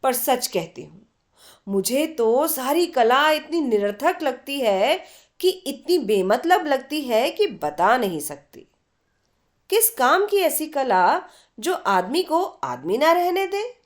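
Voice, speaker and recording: Storybook role: irritable, earnest young college woman, done by a female narrator, teasing, very animated, unhurried. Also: high voice, full character voice. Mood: scolding. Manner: teasing, scolding, exclamatory.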